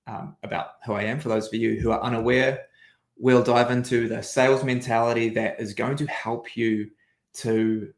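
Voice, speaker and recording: Nz guy coaching sales on a webinar, upbeat and organized, roomy echo on the call. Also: mid-range voice. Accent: New Zealand. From New Zealand. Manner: upbeat, structured, walks through an agenda. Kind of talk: webinar presentation. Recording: echoey room audio.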